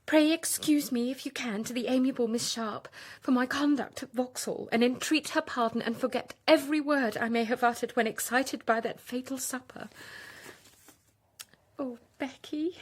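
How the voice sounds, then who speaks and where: An actress playing a kind, naive young lady in a radio period drama, with a gentle, sincere manner. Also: high voice, normal speed, breathy edge. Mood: tender concern. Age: young adult.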